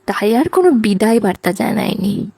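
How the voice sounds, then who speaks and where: A woman's voice: mid-range voice, expressive, moderate pace, vocal fry, mellow, breathy edge, dry recording. A woman reading scripted narration for a romantic audio story.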